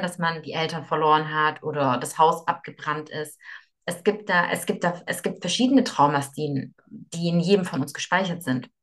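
A young woman who grew up in Russia speaks as a podcast guest. Her voice sits slightly low, and she explains her ideas earnestly, often circling back and repeating words as she thinks aloud. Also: a bit bright. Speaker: yoga teacher and guest. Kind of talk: conversational podcast interview.

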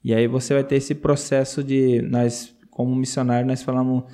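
Missionary man, mellow and earnest, laughs easily, repeats himself. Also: mid-range voice, little pitch movement, moderate pace. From Brazil. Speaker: missionary guest. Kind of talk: casual interview testimony.

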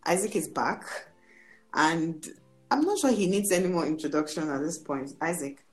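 A woman hosting a casual podcast, chatty and expressive, who laughs easily. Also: low-pitched, normal speed, soft background music. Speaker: podcast host.

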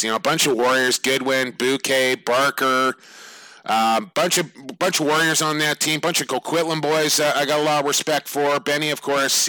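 Hoarse man talking lacrosse. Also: medium pitch, sharp tone, dry recording, low-quality recording. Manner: rambling, conversational, lots of fillers. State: slightly raspy, hoarse voice. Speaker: lacrosse podcast host. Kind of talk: casual sports talk.

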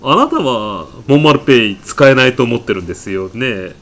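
A man plays an eccentric supermarket customer in a comedy radio sketch. He is insistent and absurdly self-assured, pressing his case like a salesman, with very lively swings of pitch. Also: normal speed. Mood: cajoling, insistent. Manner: insistent, indignant salesman-like patter. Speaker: podcast actor. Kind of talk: comedy radio drama acting.

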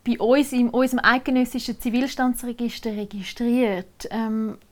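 Swiss German registrar, female, slightly high-pitched, patient explainer. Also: fairly even intonation, normal speed. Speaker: civil registrar. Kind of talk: casual interview answers. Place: Switzerland.

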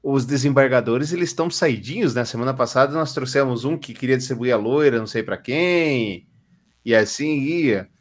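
A man's voice: mid-range voice, normal speed. A Brazilian man co-hosting a podcast, reading news stories aloud and adding dry, sarcastic comments.

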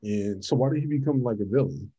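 Host, male, low mellow voice, halting with fillers, lo-fi recording. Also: breathy edge. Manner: halting, many fillers and restarts. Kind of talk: casual chat.

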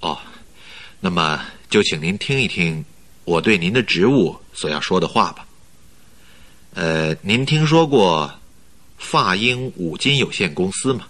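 Deep-voiced, smooth-talking recruiter. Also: male voice, expressive, a bit bright, exaggerated voice. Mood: persuasive, explaining. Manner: polite, measured, persuasive. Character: smooth-talking male company recruiter, flattering, persuasive schemer. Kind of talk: Mandarin radio drama acting.

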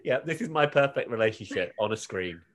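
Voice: mid-range voice, expressive, a little fast, background noise. A podcast co-host bantering casually, with a playful, wry way of talking. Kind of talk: casual banter about a TV episode.

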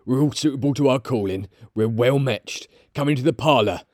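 A man's voice: mid-range voice, a little fast, no room sound, studio quality, lightly acted. A man voicing a sly, jovial shopkeeper of nearly seventy in a storybook, chatty and wry.

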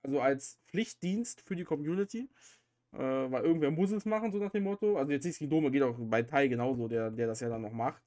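A man's voice: mid-range voice, fast talker, warm tone. Male podcast host, mid-range voice, chatty and informal. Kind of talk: casual podcast chat.

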